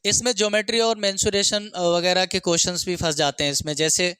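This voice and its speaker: A man's voice: high voice, fairly even intonation, a little fast, pushed and bright, smooth, no room sound, clean studio sound. A man teaching maths for an exam, working through problems step by step, asking and answering his own questions and mixing in English terms. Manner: instructional, asks and answers own questions, frequent check words.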